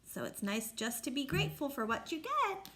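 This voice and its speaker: A woman's voice: high-pitched, big pitch swings, normal speed, pushed and bright. A woman reading a picture book aloud to children like a teacher, explaining and asking the listeners questions. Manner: instructive, asks listeners questions.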